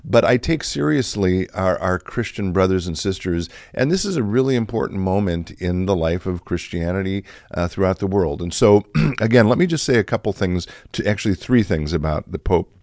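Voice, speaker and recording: A man in middle age with a low, slightly raspy voice talks to his listeners like a pastor in a podcast, circling back with "um" and restarts. The recording is dry and close, with no room around him. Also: lively intonation, normal speed, a little mellow, dry recording. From Chicago area, USA. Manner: conversational, many fillers and restarts. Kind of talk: reflective podcast talk.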